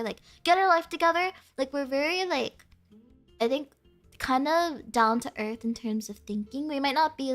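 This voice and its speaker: Giggly high-voiced young woman streamer. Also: a bit bright. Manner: bubbly, giggly, lots of "like" fillers. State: stuffy nose, sniffly. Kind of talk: casual chat with stream chat.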